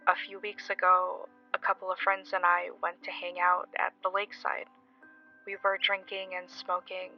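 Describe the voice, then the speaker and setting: A woman playing a shaken young woman recounting a frightening experience, halting and earnest, in an audio drama. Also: fairly low pitch, completely flat delivery, close to an everyday voice. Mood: uneasy. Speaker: caller telling a story. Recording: dull lo-fi recording, music underneath.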